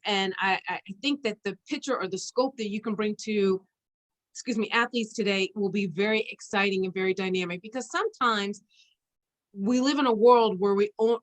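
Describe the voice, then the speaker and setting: A woman hosting a conversational interview, chatty and halting as she searches for her words. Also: medium pitch. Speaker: interview host. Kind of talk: conversational interview with an informal guest introduction.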